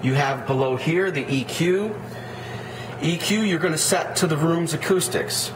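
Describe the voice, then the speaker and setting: A man's voice: on the high side, unhurried. A man giving an unscripted, plain-spoken, step-by-step tutorial as an audio equipment installer. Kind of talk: unscripted product tutorial, walkthrough. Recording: echoey room with clear background noise.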